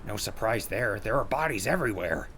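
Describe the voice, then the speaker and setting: A man playing a wry, weary, good-hearted and easily exasperated wasteland survivor in an audio drama, with a husky, gravelly voice. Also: fairly low pitch, lively intonation, fairly quick, a bit bright, slight background noise. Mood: disgusted. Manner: sarcastic, colloquial, exasperated. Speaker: protagonist and narrator. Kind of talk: post-apocalyptic audio drama acting.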